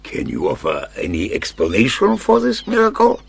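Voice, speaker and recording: An actor playing a suave, sardonic judge in a radio drama, a husky voice and mock-courteous, commanding menace. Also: male voice, fairly low pitch, wildly swooping pitch, normal speed, exaggerated voice. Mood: cold menace. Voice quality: husky, gravelly.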